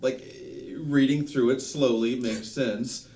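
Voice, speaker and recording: A man's voice: mid-range voice, steady tone, slow, dark tone. A man chatting casually and reacting, in a recording with noise behind him. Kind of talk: casual chat. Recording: clear background noise.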